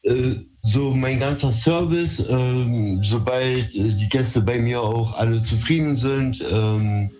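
A man's voice: medium pitch, takes it slowly, few pauses, darkish tone, highs cut off. A man from Berlin with Turkish as his first language, calling in to a radio show and talking hesitantly with many fillers. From Berlin, Germany. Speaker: caller. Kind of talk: phone-in conversation.

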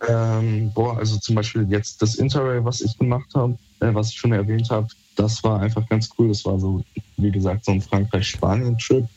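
A man's voice: lowish voice, level tone, moderate pace, mellow, soft background music. A young man from Berlin answering questions in a laid-back, colloquial chat, on slightly muffled audio. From Germany, Berlin. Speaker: interviewee. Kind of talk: casual chat.

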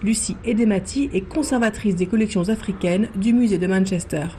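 Woman, radio reporter, scripted narration, mellow timbre, faint background noise. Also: mid-range voice, fairly quick.

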